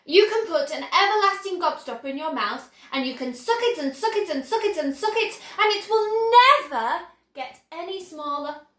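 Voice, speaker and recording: A woman voicing an excitable, eccentric male candy inventor who talks in proud exclamations. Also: extremely high pitch, normal speed, reverberant.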